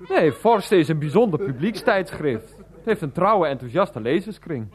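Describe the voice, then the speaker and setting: Lively, hesitant middle-aged man. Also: on the high side. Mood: earnest, explaining. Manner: halting, polite, defensive. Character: hesitant, mild, earnest middle-aged nephew. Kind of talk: naturalistic radio play dialogue.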